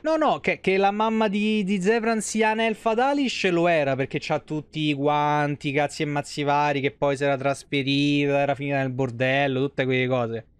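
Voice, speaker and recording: Young man, slightly high voice, game streamer, casual commentary, playful, swearing freely. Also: steady tone, normal speed, hardly any pauses, smooth, dry recording. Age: young adult. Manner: playful, foul-mouthed chatter.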